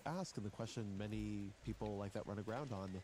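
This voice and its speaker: A man's voice: fairly low pitch, a little mellow. A man interviewing a politician and asking pointed, challenging questions. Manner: challenging, quick. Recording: clip with background noise.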